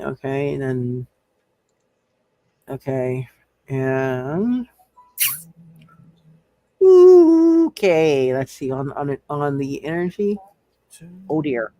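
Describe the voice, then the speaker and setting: A man running a live tabletop superhero game as game master, thinking aloud in halting phrases and repeating his words. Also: mid-range voice, darkish tone, no room sound.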